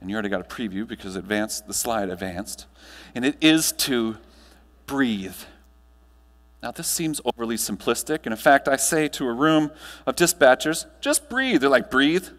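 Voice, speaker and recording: An American man in his thirties giving a motivational conference keynote, projected and emphatic, with deliberate pauses and rhetorical questions. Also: medium pitch, animated, fairly bright tone. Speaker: keynote speaker and trainer. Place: large hotel ballroom stage. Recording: long hall reverb from ballroom PA.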